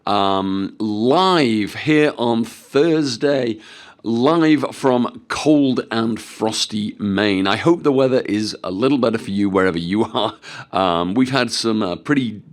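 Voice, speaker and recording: A man hosting a live webinar, his voice a little croaky as he recovers from flu, talking to the audience in an upbeat, salesy way. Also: on the low side, slow. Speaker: webinar host.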